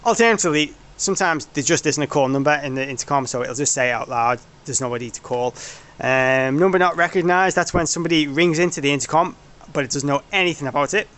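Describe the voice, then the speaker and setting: A man with a moderately high voice talks through a technical demonstration. He is informal and somewhat rambling, often restarting and correcting himself as he explains how a system works. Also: fast, a bit bright. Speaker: product developer presenting a demo.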